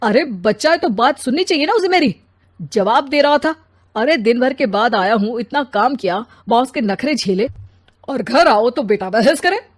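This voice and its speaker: A woman's voice: a little high, expressive, moderate pace. A woman voicing a grumpy, lazy, self-important husband and father who complains and makes demands in a story. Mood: grumbling.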